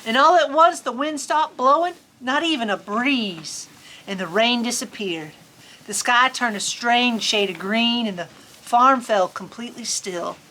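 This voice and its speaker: A woman's voice: a little high, measured pace, sharp tone. A woman reads a children's storybook in a folksy, playful way, chatting in casual asides as she hosts. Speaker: farm storyteller host. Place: outdoors on a farm in cold weather. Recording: outdoor farm ambience, background noise. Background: faint outdoor ambient noise.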